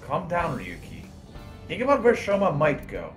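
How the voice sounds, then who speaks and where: A man voicing a brisk, flirty female AI companion in an amateur video game fandub. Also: a little high, huge pitch swings, faint music behind. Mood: urging.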